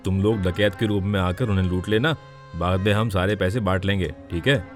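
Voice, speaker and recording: A man's voice: low voice, steady tone, fairly quick, faint music behind, lightly acted. A man playing a scheming gambler and bandit villain in a moral story. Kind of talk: dramatised Hindi moral story.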